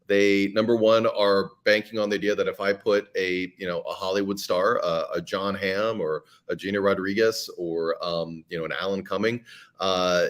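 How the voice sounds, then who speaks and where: A man's voice: low voice, fairly even intonation, moderate pace. A middle-aged man, a podcast creator and guest, talking casually in an interview with many fillers and restarts.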